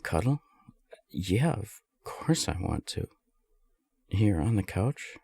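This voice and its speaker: Husky, low, hesitant lovestruck friend, acted by a man. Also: very animated, dry recording, clean studio sound, full character voice. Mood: shy, happy. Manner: hesitant, halting, soft-spoken. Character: lovestruck, self-deprecating non-binary friend, shyly confessing. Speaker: roleplay audio performer. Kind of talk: intimate romantic roleplay audio acting.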